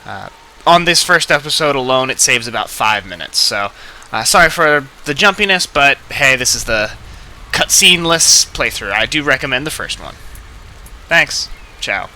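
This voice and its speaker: A man's voice: medium pitch, lively intonation, moderate pace, bright, forceful tone. A man hosting a let's play with casual, hesitant, rambling gameplay commentary.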